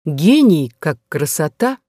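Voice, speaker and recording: A woman voicing a sly, flattering male Jesuit abbot in an audiobook novel, measured, ingratiating and unruffled. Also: low voice, no room sound, clean studio sound, heavily acted.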